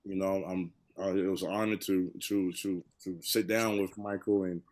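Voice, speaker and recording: A man giving casual, heartfelt remarks on a panel, halting and repeating phrases for emphasis. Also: low voice, little pitch movement, darkish tone. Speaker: monologue storyteller on a panel.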